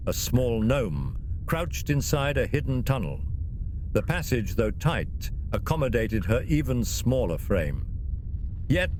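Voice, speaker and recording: Animated male fantasy storyteller, noisy ambience. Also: mid-range voice. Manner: theatrical, suspenseful delivery. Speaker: storyteller, narrator. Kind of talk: dramatic scripted fantasy narration.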